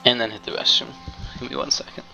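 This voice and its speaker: A male streamer with a gravelly, mid-pitched voice talks casually to friends as he plays. Game music is clearly heard behind him. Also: steady tone, fast, poor audio. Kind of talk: casual chat while gaming.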